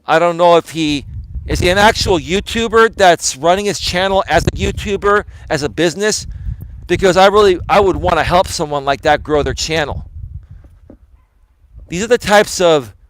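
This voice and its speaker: A man live streaming outdoors on his phone while walking, talking to viewers in a rambling, emphatic way, sounding tired and a little hoarse. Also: high voice, moderate pace, a bit bright, slight background noise, low-quality recording. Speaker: life coach and live streamer. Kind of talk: casual live stream talk to chat. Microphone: phone camera held while walking.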